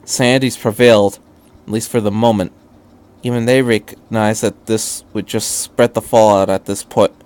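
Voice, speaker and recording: A man's voice: fairly low pitch, fairly even intonation, normal speed, darkish tone, clean tone, close to an everyday voice. Man reading as a composed female scientist. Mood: resigned.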